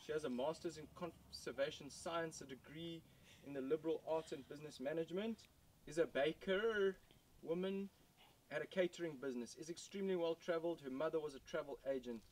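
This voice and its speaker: A man's voice: fairly high pitch, distant mic, a little hiss or hum, rough recording. Teasing man host, heard from afar. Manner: teasing, laughs easily. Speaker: podcast host. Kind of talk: casual interview. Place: outdoors at a campfire circle in the bush.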